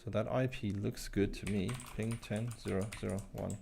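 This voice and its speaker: A man hosting a live tech stream, thinking aloud as he types commands, his voice croaky today. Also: lowish voice, fairly even intonation, normal speed, noisy background. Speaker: livestream host. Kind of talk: casual live tech walkthrough.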